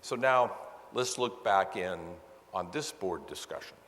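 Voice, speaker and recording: A man's voice: lowish voice, lively intonation, unhurried, fairly bright tone. A man narrating a case study as a presenter, setting out the background in an explanatory way. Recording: echoey conference hall.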